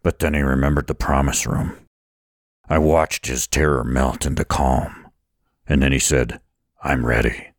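A grave, solemn man in a dramatic story, acted out by a male narrator. His voice is very deep with a creaky grain, recorded dry and close. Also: flat delivery, unhurried, no echo, full character voice. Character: Laith, grave, low-voiced male friend.

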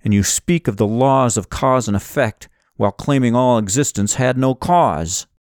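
A man's voice: mid-range voice, normal speed, darkish tone, no room sound, voice pushed up, close to an everyday voice. A man performs an earnest young hero in an audiobook adventure. He sounds steadfast and devout, speaking with firm conviction in a dry, close recording. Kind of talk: audiobook character voice.

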